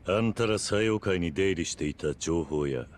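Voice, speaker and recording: An actor playing a stern, tough former yakuza boss who talks gruff and blunt. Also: male voice, low voice, normal speed, a little hiss or hum, natural voice. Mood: blunt, demanding. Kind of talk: video game cutscene acting.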